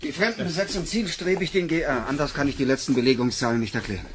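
An actor playing a precise, irritable scientist in a German sci-fi audio drama, with a clipped, technical delivery. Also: male voice, fairly high pitch, fast, background noise, lightly acted. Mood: grave, factual. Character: anxious, precise male scientist, irritable subordinate.

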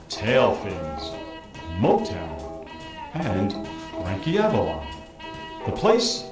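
A man acting as a theatrical narrator who sets the scene of a bygone era in a stage play. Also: medium pitch. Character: slow dramatic narrator setting the scene of an era. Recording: echoey stage recording, guitar music behind.

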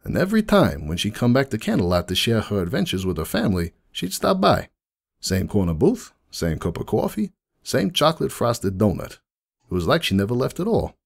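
A man acts out a world-weary middle-aged donut seller pony in a dramatic fan-fiction reading. His low, gravelly voice swings expressively as he confides his story in a folksy, rambling way. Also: wildly swooping pitch, brisk, exaggerated voice. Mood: fond, nostalgic. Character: jaded, rueful middle-aged male pony donut shop owner. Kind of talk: dramatic first-person monologue reading.

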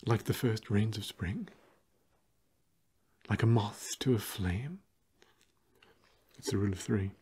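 A man playing a fussy, old-fashioned, melancholy gentleman vampire in a comic ASMR roleplay, speaking softly, courtly and hesitantly. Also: on the low side, slow pace, pauses often, heavily acted. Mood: weary, disdainful. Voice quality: breathy, husky soft voice.

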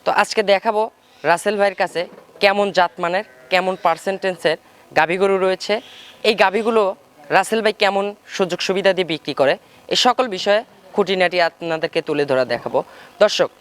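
A man's voice: sits high, brisk, a bit bright, clean tone. A man with a Bangladeshi accent presenting and interviewing for a farming vlog. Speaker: farming channel host and interviewer. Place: outdoors at a dairy farm.

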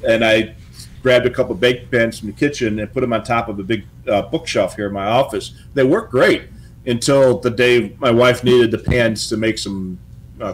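A man's voice: medium pitch, fairly even intonation, fairly quick, poor audio. A middle-aged man with an American accent, co-hosting from a home office in a casual, chatty style with practical tips. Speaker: co-host of a police scanner show. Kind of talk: casual chat with tips and anecdotes.

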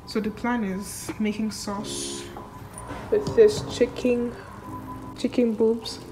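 A woman's voice: a little high, level tone, slow pace. A young woman chatting casually to camera in a rambling, informal vlog style, recorded indoors at a short distance from the mic. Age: young adult. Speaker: vlogger, university student. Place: indoor student flat kitchen and rooms. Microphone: camera at arm's length or propped nearby. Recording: echoey room, background music.

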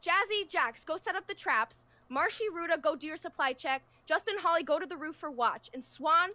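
Woman acting a high, sharp, commanding survivor leader. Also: expressive, moderate pace, exaggerated voice. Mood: stern, angry. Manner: commanding, barking orders. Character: stern bossy female leader of apocalypse survivor group. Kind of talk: amateur roleplay acting.

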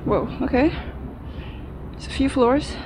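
A woman's voice: sits high, slow pace. A young adult woman talking casually to her handheld camera, thinking aloud in fragments as she explores. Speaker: urban explorer vlogger. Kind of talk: casual vlog commentary while exploring. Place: abandoned steel factory, indoors and out. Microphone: handheld action camera. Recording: noisy handheld action camera audio.

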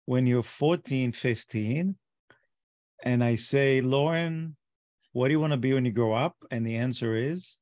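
A man's voice: mid-range voice, unhurried, a little mellow, no room sound, clean studio sound. Israeli-accented man hosting on a video call. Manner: conversational, asks loose leading questions. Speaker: host, interviewer. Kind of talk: casual interview talk. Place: home office.

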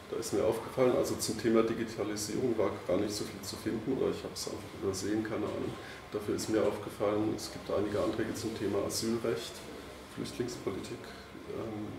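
A man's voice: on the low side, creaky, echoey room, recorded from a distance, background noise. A man, a journalist, asking long, loosely phrased questions at a press conference.

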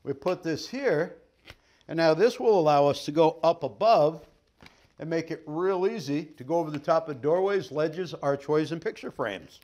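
Middle-aged male sales trainer, slightly high voice, folksy and upbeat demo patter, living room set. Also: animated, normal speed, a little mellow. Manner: folksy, upbeat, jokey patter while handling gear. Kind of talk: product demonstration.

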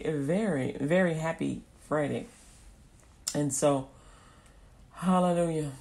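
A woman's voice: fairly low pitch, a little mellow. A woman preaching an informal radio sermon, folksy and repetitive, acting out little scenes as she goes.

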